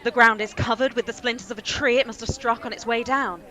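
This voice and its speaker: An actress playing a female radio reporter in a radio play, briskly announcing and getting flustered at a strange scene. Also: fairly high pitch, steady tone, sharp tone. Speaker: radio commentator. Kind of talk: amateur radio play acting.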